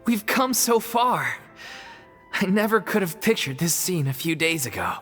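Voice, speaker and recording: An actress playing a wistful woman of a theater troupe in a video game, with a breathy, husky voice. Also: fairly low pitch, sing-song, a little slow, fairly bright tone, in a large hall, faint music behind, heavily acted. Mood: touched.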